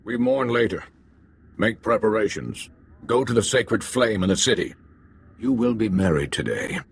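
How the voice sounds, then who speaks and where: An actor playing a commanding alien family elder in a video game, with terse, formal declarations. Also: male voice, on the low side, lively intonation, normal speed, creaky, a little hiss or hum. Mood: grave.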